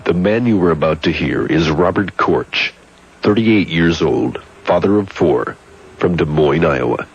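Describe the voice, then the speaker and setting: Man putting on a very deep, husky solemn announcer. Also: animated, measured pace, exaggerated voice. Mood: grave. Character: solemn male announcer of a mock medical appeal. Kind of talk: parody public service announcement narration. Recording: muffled old radio broadcast tape.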